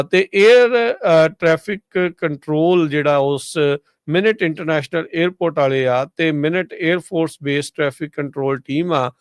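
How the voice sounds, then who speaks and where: A man with a Punjabi accent presenting a radio news report in a conversational, halting way with frequent hesitations. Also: fairly high pitch, smooth, no echo.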